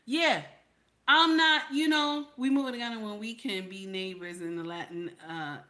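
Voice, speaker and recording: A woman's voice: medium pitch, moderate pace, sharp tone. A woman hosting a livestream, speaking frankly and conversationally to her viewers, often checking in with "okay?". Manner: emphatic, keeps repeating "okay?" to her audience; reassuring, frank and conversational, with some swearing. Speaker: livestream host. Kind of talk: casual livestream talk to viewers.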